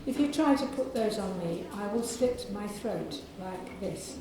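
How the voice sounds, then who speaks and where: A woman with a soft, croaky voice, an author reading aloud from her novel to an audience in a library. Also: mid-range voice, lively intonation, heavy reverb, a crowd in the background, poor audio. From England. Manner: measured reading with clearing of the throat.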